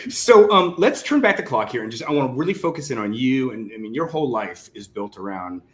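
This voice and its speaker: A man's voice: medium pitch, very animated, a little fast. A man hosting a casual literary interview, speaking in a rambling, conversational way with lots of "like". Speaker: interviewer, host.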